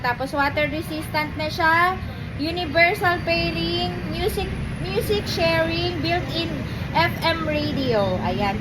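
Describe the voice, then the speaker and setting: A woman presents products to customers in a casual, informal sales patter dotted with short tag words. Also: sits high. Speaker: motorcycle shop presenter. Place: motorcycle gear store. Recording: noisy, with vehicle sounds behind.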